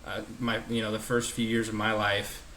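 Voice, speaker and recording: A man's voice: lowish voice, little pitch movement, normal speed, pushed and bright, a little hiss or hum, low-quality recording. A man from Wyoming hosting a casual sports podcast, asking eager questions and often restarting his sentences. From Wyoming, USA. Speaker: podcast host.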